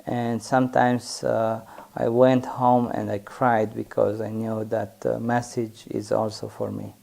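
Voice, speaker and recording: A man's voice: lowish voice, little pitch movement, measured pace, creaky, a little mellow. A man with a Romanian accent telling his testimony hesitantly, with many fillers. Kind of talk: personal testimony.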